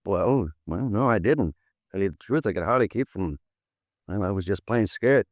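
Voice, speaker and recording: Jumpy young newsboy played by a man, swooping pitch. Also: animated, normal speed, no echo, studio quality, lightly acted. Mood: nervous, eager. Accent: American. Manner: hesitant, rambling, street-slangy. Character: nervous, talkative young newsboy, eager witness. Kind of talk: 1950s radio acting.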